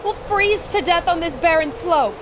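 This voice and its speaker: A woman's voice: very high-pitched, lively intonation, moderate pace. A woman playing a plucky radio reporter on an expedition, earnest and theatrical in an old-time radio adventure. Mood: worried. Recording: loud storm and ambient noise.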